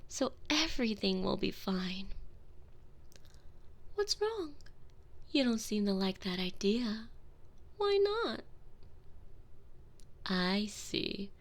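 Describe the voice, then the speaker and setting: A seductive female vampire mistress, voiced by a woman in an intimate binaural audio roleplay. She talks slowly and toys with her servant, her pitch rising and falling in lively swoops. Her voice carries a gravelly fry and often sinks to a hushed whisper. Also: full character voice. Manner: teasing, manipulative, slips into soft whispers. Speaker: voice actress in a one-sided audio roleplay.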